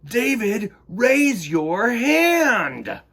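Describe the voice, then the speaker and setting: A man voicing a strict, exasperated schoolteacher in a read-aloud storybook, calling out rules in a loud, slow, drawn-out way. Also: very high voice, big pitch swings, vocal fry, breathy edge, pitched above the performer's own voice, heavily acted. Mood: scolding.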